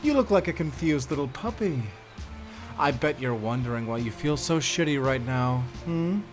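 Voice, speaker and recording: A man playing a sadistic prison warden in an audio drama, gloating and mocking, with menacing, drawn-out delivery. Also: mid-range voice, expressive, faint music behind, close to an everyday voice. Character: gloating sadistic male prison warden who relishes power. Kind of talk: scripted audio drama acting.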